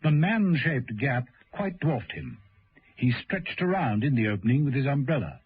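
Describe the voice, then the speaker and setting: A man's voice: medium pitch, expressive, moderate pace, husky, phone-quality sound. A man narrating a suspense radio serial in a dramatic scripted style, on an old radio recording. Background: loud music.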